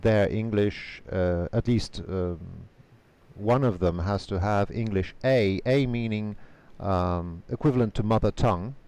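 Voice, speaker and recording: Polish-native male interpreter guest, low voice, measured answers, video call. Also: a little mellow, clean tone. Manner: measured, careful, frequent hesitation fillers.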